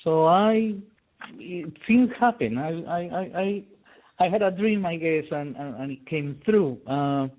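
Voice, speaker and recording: A man's voice: on the high side, takes it slowly. A middle-aged man from Peru speaks as a guest. He explains things casually and hesitantly, with many fillers and repeated words. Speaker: guest expert on conflict resolution. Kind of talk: phone interview, casual explanation. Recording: tinny phone line.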